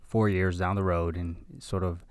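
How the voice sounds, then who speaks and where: A man's voice: low voice, level tone, normal speed, dry recording. A man in his thirties from Cuba, answering conversationally as an interview guest in a gracious, effusive manner. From Cuba, moved to the US at six. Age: 30s. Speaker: podcast guest, graduating medical student.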